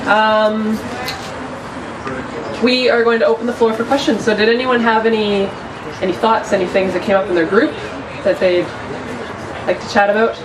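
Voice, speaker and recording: A woman's voice: mid-range voice, flat delivery, moderate pace, pauses often. A woman moderator opening the floor to questions, light and joking. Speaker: event moderator. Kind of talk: casual moderating. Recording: distant voice with background noise.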